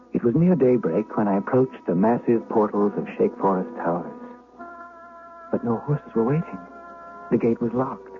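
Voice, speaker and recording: An actor playing a conscience-stricken confidant of a duke in a period radio drama, speaking in a formal, theatrical way. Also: male voice, fairly low pitch, close to an everyday voice. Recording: noisy, tinny old radio broadcast recording.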